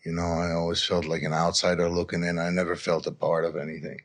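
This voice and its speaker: Male interview guest from Israel, very low pitch. Addiction coach telling personal stories in a halting, reflective way. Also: completely flat delivery. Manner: halting and reflective, often adds "you know". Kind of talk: candid personal storytelling in an interview.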